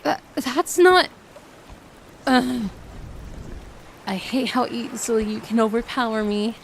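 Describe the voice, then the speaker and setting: A woman's voice: on the high side, slow pace, creaky, a little hiss or hum. A woman playing a shy, kind-hearted mermaid in a roleplay audio drama, with a flustered, hesitant, stammering manner. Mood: flustered, indignant.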